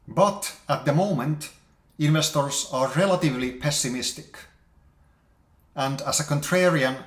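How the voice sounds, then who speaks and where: Man presenting in an echoey room. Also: medium pitch. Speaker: investor presenting market outlook. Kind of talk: explanatory presentation with charts. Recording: roomy, echoey sound.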